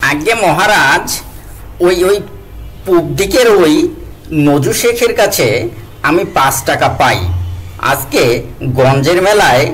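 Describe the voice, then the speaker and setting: A man's voice: fairly high pitch, lively intonation, takes it slowly, long pauses, fairly bright tone, rough recording. An actor voicing a clever, stubborn male wise man in a cartoon, pleading and insisting on what he is owed. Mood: explaining.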